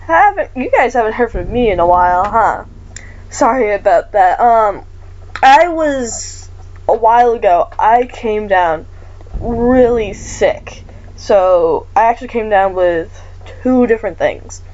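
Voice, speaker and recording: Woman, casual game host, apologetic, lingering cough, fairly high pitch, dull recording. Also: animated, unhurried, darkish tone. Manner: apologetic, chatty. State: recovering from a cough. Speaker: gaming channel host. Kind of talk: casual let's-play chat.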